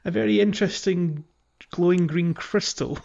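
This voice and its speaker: A man co-hosting a gaming podcast, chatting casually and haltingly as he gathers his thoughts. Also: sits high, gravelly, darkish tone, lo-fi sound. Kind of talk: casual chat about a video game.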